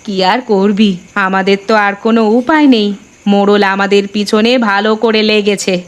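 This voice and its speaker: A woman's voice: medium pitch, fairly even intonation, normal speed, close to an everyday voice. A woman playing a sorrowful but resolute elderly village mother in a melodramatic cartoon, plaintive and exhorting. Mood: weary, worried. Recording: music behind the voice. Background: background music.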